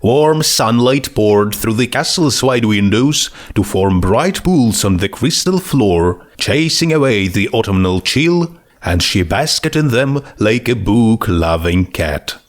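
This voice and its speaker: A man's voice: lowish voice, animated, slow pace. A man narrating a written comic story as a scripted audiobook-style reading. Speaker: narrator of fan fiction.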